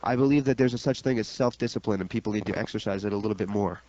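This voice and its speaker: A man's voice: lowish voice, very fast, warm tone. Blunt man co-hosting sports talk radio, slightly muffled. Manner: blunt, emphatic. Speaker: radio co-host. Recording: dull, lo-fi radio recording.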